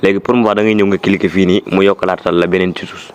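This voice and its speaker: A man's voice: low voice, little pitch movement, very fast, clean tone. A man with a Senegalese accent gives an informal step-by-step app tutorial, repeating instructions and mixing in French words. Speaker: tutorial presenter.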